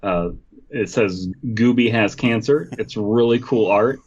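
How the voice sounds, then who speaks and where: A man's voice: on the low side, measured pace, a little mellow, muffled recording. A man hosting a comedy podcast, reading a story aloud with casual joking asides.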